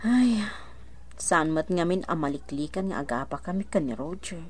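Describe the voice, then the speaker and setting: A woman's voice: low-pitched, lively intonation, normal speed, slightly rough. A troubled, defensive young mother. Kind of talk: Ilocano radio drama acting.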